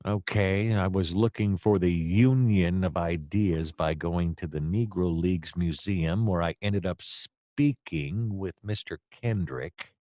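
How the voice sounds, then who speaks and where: Man acting a low-voiced, hapless comic noir detective. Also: takes it slowly, few pauses, dark tone, no room sound, studio quality. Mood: dejected, rambling. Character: hapless, excitable noir private detective, a man named Dirk. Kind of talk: comedic noir radio play acting.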